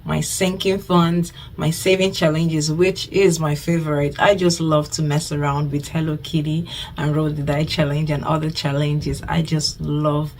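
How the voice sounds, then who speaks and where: A woman's voice: low-pitched, flat delivery, moderate pace, slight background noise. A Nigerian woman in her twenties talks casually to her camera as a budgeting vlogger, chatty and thankful, often repeating herself. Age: 20s.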